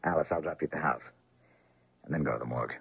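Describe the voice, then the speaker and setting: An actor playing an earnest, mild-mannered doctor and dutiful son in an old-time radio drama. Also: male voice, rumbling low, fast talker, vocal fry, background noise. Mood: dutiful, sober. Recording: dull lo-fi old radio recording.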